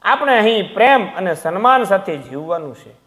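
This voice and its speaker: A man as a dignified elderly teacher, high-pitched. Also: sing-song, moderate pace, pushed and bright, in a large hall, exaggerated voice. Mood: earnest encouraging. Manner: earnest, encouraging. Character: dignified, consoling elderly retired male teacher.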